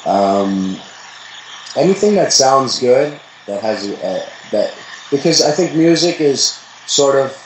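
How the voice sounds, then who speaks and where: Young man, interview guest, loose and rambling, middle pitch, echoey room. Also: slow, noisy background. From the Bronx, New York. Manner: relaxed, rambling, many fillers. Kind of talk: casual interview chat.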